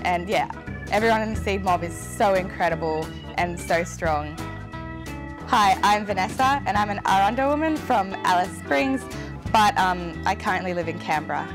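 Young Australian female, casual interviewee recounting a story, clear music bed behind her. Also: mid-range voice, animated, normal speed. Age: young adult. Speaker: youth climate network member. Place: indoor living room on a sofa.

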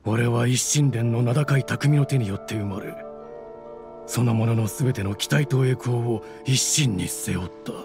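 Creaky, menacing cursed sword spirit. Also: male voice, mid-range voice. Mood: menacing, smug. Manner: slow, menacing, haughty. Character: vengeful sentient cursed sword possessing a man, proud, bitter. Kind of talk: dramatic Japanese game voice acting. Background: music.

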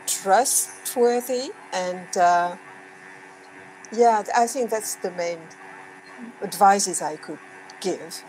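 A middle-aged woman with a French accent, a guest speaking thoughtfully with pauses on a video call from a room at home. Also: mid-range voice, slight background noise, rough recording. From France. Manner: reflective, pausing, with soft laughs. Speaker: guest dancer and actress. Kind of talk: video call panel talk. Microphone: laptop webcam on a video call.

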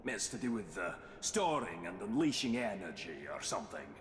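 An actor playing a roguish artifact expert in a video game, narrating in a wry, droll, theatrical way with grisly relish. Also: male voice, fairly low pitch, sing-song, normal speed, a little hiss or hum. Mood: droll, dramatic. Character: roguish, wry male artifact expert explaining ancient weapons. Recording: echoey hall with long reverb.

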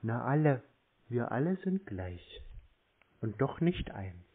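A man bantering casually on a phone call in a mocking, deadpan way. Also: medium pitch, slow pace, husky. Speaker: host of a scam-baiting show, prank caller. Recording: narrow tinny phone-line sound.

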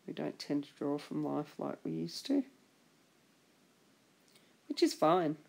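A woman giving a relaxed drawing tutorial as a voice-over, husky from a chest infection, chatty and encouraging. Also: low voice, slow. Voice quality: husky, gravelly. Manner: chatty, encouraging, trails off and restarts. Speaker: art instructor.

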